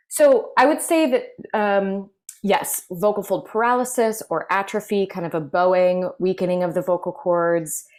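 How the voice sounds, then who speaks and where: Measured woman explaining vocal problems. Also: medium pitch, a little mellow. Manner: measured, explanatory, with many fillers. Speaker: voice clinical specialist. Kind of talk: interview answers.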